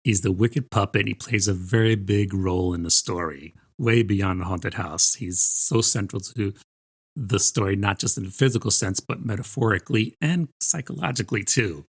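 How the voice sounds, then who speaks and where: Low husky-voiced man discussing books. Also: moderate pace. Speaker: book podcast co-host. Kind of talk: conversational book review.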